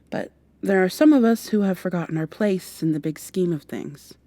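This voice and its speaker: Actress as earnest female time traveler for ASMR drama: mellow, close and dry, protective, slightly flustered and long-winded. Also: lowish voice, no room sound. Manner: hesitant, rambling, gently reassuring, some whispering. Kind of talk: ASMR roleplay, softly acted monologue to the listener. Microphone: close binaural microphone.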